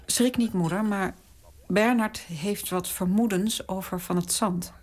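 An actress playing a dutiful princess daughter in a radio drama, speaking gently and deferentially. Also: female voice, medium pitch, unhurried, close to an everyday voice. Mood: earnest.

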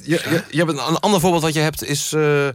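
A man's voice: a little high, steady tone, fast, dry recording. A man presenting and interviewing in the style of a radio magazine from a studio.

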